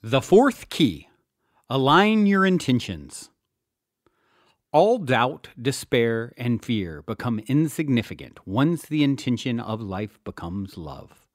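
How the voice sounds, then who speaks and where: Expressive man narrating an audiobook. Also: mid-range voice, slow pace, no room sound, studio quality. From US East Coast. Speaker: author narrating his own book.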